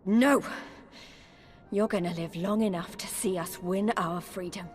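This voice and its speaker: A woman's voice: on the low side, unhurried, faint music behind, natural voice. A woman playing a righteous, earnest young freedom fighter, vehement and impassioned in a dramatic video game cutscene. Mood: grim resolve.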